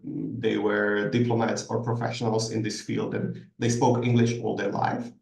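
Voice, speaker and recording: A man's voice: mid-range voice, gravelly, a little mellow. A man answering questions as a guest expert in a masterclass, thoughtful and hesitant, with many ums and restarts, heard over a video call. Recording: echoey room, distant mic.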